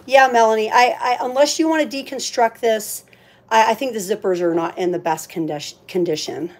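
A woman's voice: a little high, normal speed. A woman hosting a live auction in casual, chatty talk, thinking aloud as she handles items. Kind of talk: casual livestream sales chat.

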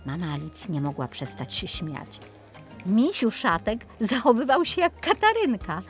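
A woman's voice: mid-range voice, big pitch swings, moderate pace, soft background music. A woman narrating a children's story expressively as the storyteller.

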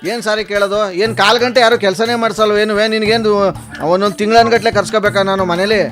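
An irate, bullying male customer acted by a man hectors down the phone in a prank call. His voice sits high and the words pour out extremely fast, full of sarcastic scolding, with music clearly playing behind him. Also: level tone, fairly bright tone. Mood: angry hectoring. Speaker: radio prank-call host.

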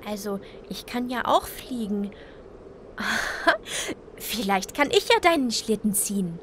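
A woman's voice: mid-range voice, expressive, slow, a bit bright, a little hiss or hum. A woman voicing a cheerful, curious little cloud in a children's audio play, childlike and eager.

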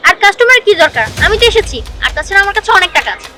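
A woman's voice: extremely high pitch, very animated, racing. A woman playing a spoiled, boastful rich young businesswoman in a web drama, insisting on getting her way. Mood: insistent. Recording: loud music over the dialogue.

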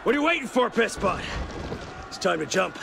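An actor playing a gruff, middle-aged prisoner mentor in a game cutscene, gravelly, taunting and commanding. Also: male voice, high voice, sing-song, a little fast, heavily acted. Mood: taunting, urging. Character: gruff, weathered older male prisoner, a mocking assassin mentor. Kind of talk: video game cutscene acting. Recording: noisy game audio with outdoor ambience.

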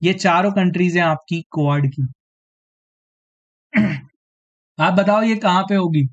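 A man teaching a live online exam-prep quiz, slightly hoarse, clearing his throat now and then and tagging his sentences with a question of agreement to his students. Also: high-pitched, slow pace, lo-fi sound. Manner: repeats "theek hai" as a tag, addresses students directly.

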